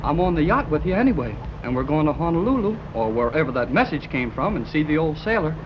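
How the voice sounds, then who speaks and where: An actor playing an excited young radio enthusiast in a 1930s radio serial, full of gee-whiz slang, from an old recording. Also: male voice, fairly high pitch, quick pace, dull audio, background noise. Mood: eager. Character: eager young male radio enthusiast, wide-eyed.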